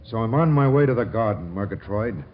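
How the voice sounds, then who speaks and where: A man's voice: lowish voice, lively intonation, natural voice. Naive, smitten young groom, played by a male actor, stammering and easily scandalised, 1940s radio comedy with dull, faintly hissy sound. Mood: earnest, smitten. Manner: halting, stammering.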